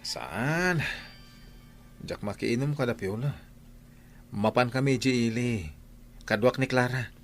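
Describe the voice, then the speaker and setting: A man's voice: medium pitch, slow, long pauses, soft background music. A man acting an earnest young suitor in a Filipino radio drama, with a Filipino accent. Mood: easygoing, generous. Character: earnest young male suitor mending his ways.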